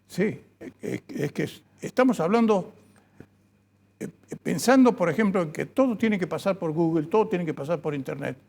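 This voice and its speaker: Gravelly high-voiced male host. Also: lively intonation, moderate pace, darkish tone. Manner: conversational, reflective, uses sayings. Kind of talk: radio interview.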